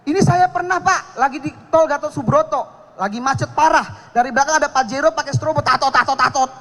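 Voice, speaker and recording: A man with a very high voice performs stand-up on a contest stage. He talks in a cocky, teasing way and mocks people with quick jokes. Laughter from the crowd comes in behind him. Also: fairly bright tone, rough recording. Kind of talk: stand-up comedy set. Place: stage at a live comedy contest. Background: audience laughter.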